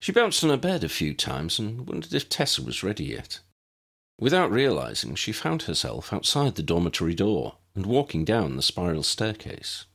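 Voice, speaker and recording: A man narrating a scripted story in a measured, expressive storybook manner. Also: on the low side, vocal fry. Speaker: audiobook narrator and author.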